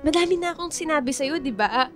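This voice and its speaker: A woman's voice: high-pitched, fast, soft background music. A woman playing a young student in a radio romance drama, the teasing, exasperated but supportive best friend. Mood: amused, teasing. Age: young adult. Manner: teasing, animated, colloquial.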